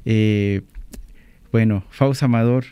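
A man's voice: lowish voice, steady tone, takes it slowly, mellow, clean tone, no echo. A man hosting an interview, encouraging and rambling as he frames his questions. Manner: encouraging, frequent backchannel murmurs, rambling questions. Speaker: interviewer, host. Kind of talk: interview conversation.

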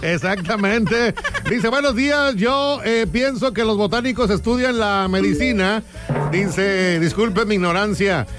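High-voiced joking Mexican radio host. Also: male voice, measured pace. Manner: jokey, bantering, laughs at puns. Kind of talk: morning radio show banter, reading listener messages. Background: music bed.

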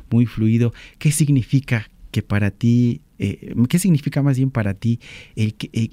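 A man's voice: fairly low pitch, warm tone, no echo. A man interviewing a guest, speaking in a reflective, encouraging way. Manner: reflective, encouraging, with frequent backchannel. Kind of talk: interview conversation.

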